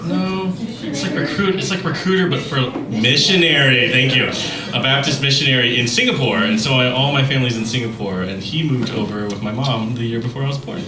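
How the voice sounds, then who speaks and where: A man's voice: medium pitch, a little fast, crowd noise. A man from Mobile, Alabama introducing himself on a panel in a friendly way, stopping to search for words. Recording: echoey hall, distant mic.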